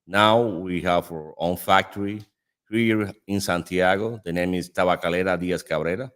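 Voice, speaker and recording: Deep-voiced Dominican man hosting a chat. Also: normal speed, a bit bright. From Dominican Republic. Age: 30s. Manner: laughs often, friendly and chatty. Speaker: host. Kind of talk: casual chat.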